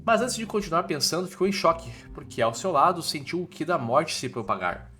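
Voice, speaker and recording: Animated Brazilian man narrating a story recap. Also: on the high side, a little fast, faint music behind. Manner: colloquial storytelling with casual asides.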